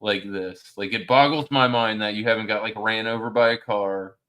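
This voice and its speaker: A man's voice: on the low side, steady tone, moderate pace, a bit bright, smooth. A man chatting casually in a blunt, profane, ranting way. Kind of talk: casual chat. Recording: music playing behind the voice. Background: music.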